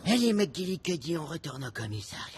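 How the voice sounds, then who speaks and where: A man voicing a goofy, excitable fellow in a French cartoon, with a playful, animated delivery. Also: on the high side, a little fast, very noisy. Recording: loud music behind the voice.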